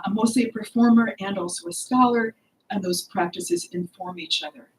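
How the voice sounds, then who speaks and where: An older woman, the author, giving an earnest talk about her book at a podium in a library hall, pausing often with fillers. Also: fairly high pitch. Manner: earnest and expressive, with frequent pauses and fillers. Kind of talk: lecture talk at a book launch. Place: library hall with bookshelves, indoors. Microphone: podium microphone.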